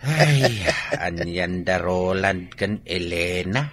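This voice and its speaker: An actor playing an emotional, effusive in-law in a radio drama, with an Ilocano accent. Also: male voice, deep voice, expressive, slow, a bit bright, exaggerated voice.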